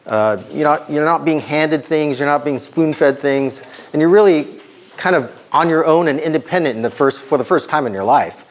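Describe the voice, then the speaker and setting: Middle-aged male speaker, a little hoarse, preaching to students in a relaxed, talky way. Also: a little high, normal speed. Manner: conversational, many ums and restarts. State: slightly hoarse. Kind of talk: informal sermon to students.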